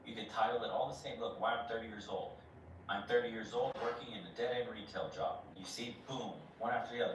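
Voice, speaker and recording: A man's voice: mid-range voice, far from the mic. A man giving casual, blunt reaction commentary, swearing freely and using slang. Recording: roomy echo, off-mic, noisy.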